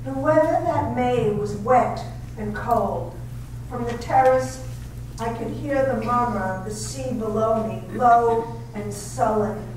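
A woman's voice: a little high, slow, a little mellow. A woman acting a timid young wife in a 1930s-style radio drama, hesitant and stammering, recorded echoey and distant. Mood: narrating. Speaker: amateur radio drama actor and narrator. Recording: echoey, distant, faint hiss.

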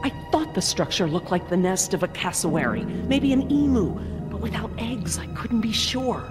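A woman's voice: medium pitch, expressive, moderate pace, close to an everyday voice. An actress voicing an analytical middle-aged woman scientist with a clinical, earnest manner in a video game. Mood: clinical, concerned. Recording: loud dramatic music behind voice.

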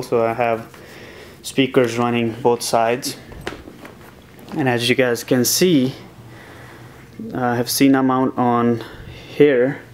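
Young guy vlogging from his home office, pretty monotone, lots of ums and uhs, close to the cam. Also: mid-range voice, a little hiss or hum. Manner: relaxed, rambling with many uh and um fillers. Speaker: motovlogger. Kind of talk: casual vlog, gear walkthrough. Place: small home office, indoors.

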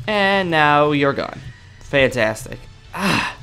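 A young man improvising in-character gameplay roleplay in a cocky, dramatic, excitable manner. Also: fairly high pitch, takes it slowly, soft background music. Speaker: gaming roleplay video creator.

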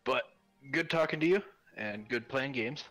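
A man's voice: medium pitch, moderate pace, a bit bright, poor audio. A man bantering casually with fellow players in a dry, teasing way. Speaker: player in an online game session. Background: music.

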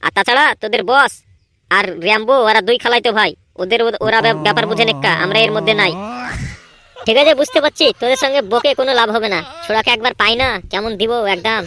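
Woman doing a high, cocky cartoon village lad. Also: fast, pushed and bright. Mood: boastful. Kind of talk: Bengali comedy cartoon voice acting.

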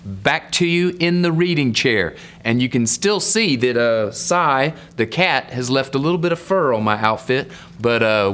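A middle-aged man reading a children's picture book aloud with asides, in measured, emphatic phrasing. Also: medium pitch, fairly bright tone. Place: indoor room set up as a reading corner.

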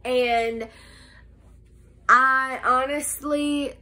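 Nasal, slow-talking young woman with a cold. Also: high-pitched, fairly even intonation, sharp tone. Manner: slow, halting, many "um"s and restarts. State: nasal from a cold, slight cough. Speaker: vlogger. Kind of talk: casual life update vlog. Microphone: phone camera held close.

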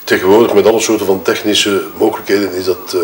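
Low-voiced, hesitant Flemish widower, played by a man. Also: steady tone, quick pace, slight background noise, close to an everyday voice. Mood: dismissive, defensive. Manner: hesitant, wordy, formal, self-correcting. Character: wronged, self-justifying widowed husband giving his statement. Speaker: actor in an audio murder-mystery game. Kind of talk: acted improvised-sounding testimony. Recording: roomy echo.

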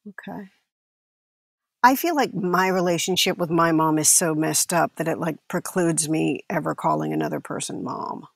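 An actress playing an uneasy, candid in-law in a comedy audio drama, musing and rambling in a naturalistic, hesitant way. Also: female voice, low-pitched, normal speed, vocal fry, dry recording, clean studio sound. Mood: probing, reserved. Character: uneasy, candid woman married into an eccentric family.

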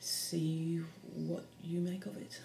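A woman in her forties, an artist, describing her short films haltingly, with many pauses and fillers. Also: fairly low pitch, level tone, creaky, mellow, a little hiss or hum. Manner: hesitant, many ums. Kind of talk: casual explanation of her films. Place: room with bare block walls. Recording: echoey room.

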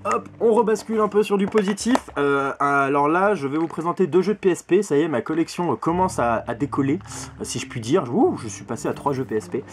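A man's voice: a little high, lively intonation, brisk, a little hiss or hum. A young man talking casually and emphatically to camera as he presents his video game collection, recorded at arm's length in a bedroom. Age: young adult. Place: small bedroom full of games, indoors. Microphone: camera mic at arm's length.

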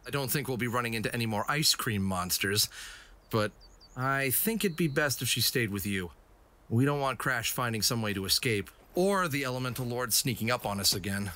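A man's voice: medium pitch, animated, normal speed, pushed and bright, slight background noise. A man playing an adventurous, stubborn and sentimental fox hero in an audio drama. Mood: firm. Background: dramatic background music.

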